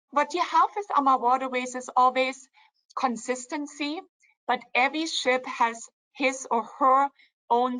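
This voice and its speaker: A woman hosts a virtual company event over a video call. Her voice is higher than average and sharply bright, and she speaks warmly, praising a colleague. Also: rough recording. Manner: warm, praising, guides the conversation. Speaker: co-founder and event host.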